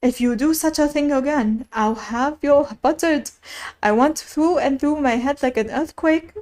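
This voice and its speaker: Woman playing a fussy, high-pitched storybook king. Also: a little slow, a little mellow. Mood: indignant. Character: fussy, easily alarmed, impatient old storybook king.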